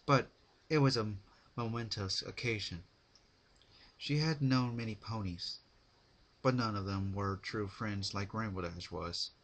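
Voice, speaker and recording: Slow, low-voiced male story narrator. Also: fairly bright tone. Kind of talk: dramatic scripted story narration.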